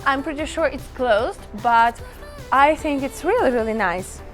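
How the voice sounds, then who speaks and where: Chatty high-voiced woman vlogging outdoors. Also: a little slow, fairly bright tone, faint music behind. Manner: chatty, enthusiastic, addresses viewers as guys. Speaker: travel vlogger. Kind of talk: casual travel vlog to camera. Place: outdoors in a small town.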